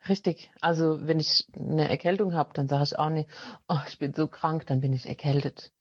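A woman talks through her experience plainly and matter-of-factly, often pausing with fillers. Her voice comes through a dull, lo-fi connection, recorded dry with no room sound. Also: deep voice, fairly even intonation, breathy edge, no room sound. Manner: plain-spoken and matter-of-fact, with frequent fillers. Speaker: interview guest. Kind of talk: casual conversational storytelling.

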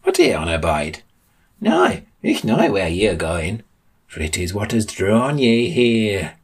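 A silly storybook cousin with a high, husky voice. He is eccentric and self-important, speaking in a quaint archaic dialect. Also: huge pitch swings, normal speed, exaggerated voice. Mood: theatrical.

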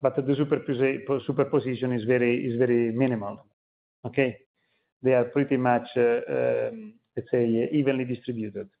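A man's voice: medium pitch, fairly even intonation. Male executive on a results call, mid-range voice, hesitant phrasing, tinny telephone sound. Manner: halting, searching for words. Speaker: company executive. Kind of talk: answering questions on an earnings call. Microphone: conference call line. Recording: narrow, tinny phone line.

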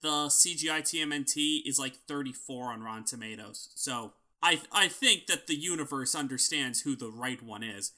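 A man's voice: medium pitch, bright, forceful tone. A man with a nasal voice co-hosting a podcast, chatting casually and arguing his points. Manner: argumentative, quick to disagree. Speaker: podcast co-host. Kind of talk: casual chat.

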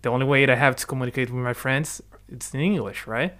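Animated man, bright voice. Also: mid-range voice, quick pace. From Brazil. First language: Portuguese. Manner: animated, talks in restarts and asks "right?" often. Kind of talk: casual conversation. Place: lives in the UK.

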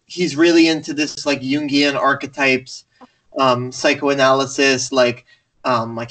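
A man's voice: medium pitch, a bit bright, rough recording. Friendly, enthusiastic male podcast host. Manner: friendly, filler-heavy, enthusiastic. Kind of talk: casual interview.

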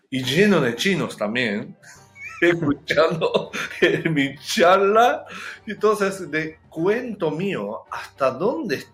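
A Japanese man speaking Spanish as a second language with a Japanese accent, talking slowly and haltingly, searching for words and laughing often as a guest in a casual interview. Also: on the high side, a bit bright, echoey room.

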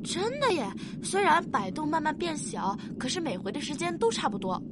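Woman playing a high-voiced, curious young child. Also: sing-song, moderate pace, very noisy. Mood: attentive. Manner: eager questioning with childlike exclamations. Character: curious, excitable young child aboard a spaceship. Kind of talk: Chinese science fiction radio drama acting.

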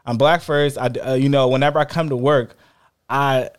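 A man's voice: normal speed, clean tone. Talkative man co-hosting a podcast. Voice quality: fairly high-pitched voice. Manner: animated, rambling with many restarts. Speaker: podcast co-host. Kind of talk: casual podcast chat.

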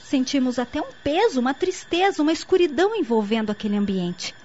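High-voiced earnest young niece played by an actress. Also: female voice, animated, brisk, a little mellow. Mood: earnest, explanatory. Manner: didactic, articulate, earnest. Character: young niece explaining spirits earnestly to her aunt. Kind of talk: radio soap opera acting. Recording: muffled lo-fi audio with faint noise.

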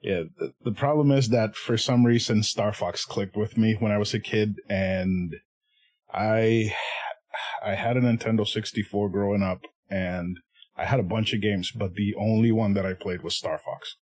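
A man's voice: on the low side, moderate pace. Man, casual and laughing. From Puerto Rico. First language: Spanish. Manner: laughs often, swears freely, animated. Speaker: podcast guest. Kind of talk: casual chat.

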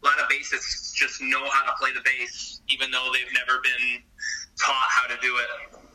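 A man hosting a casual chat, talking in an expressive, animated way. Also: medium pitch, moderate pace, recorded from a distance, poor audio. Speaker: podcast host.

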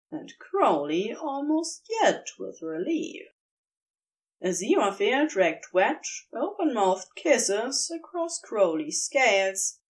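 Female podfic reader: slow, lively storytelling, higher voice. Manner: unhurried, expressive storytelling. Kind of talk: reading fan fiction aloud.

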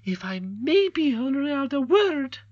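A woman's voice: sing-song, slow pace, darkish tone, dry recording, lo-fi sound, full character voice. A woman voicing a haughty, scheming goblin queen in a read-aloud story. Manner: shrill, sweeping, imperious.

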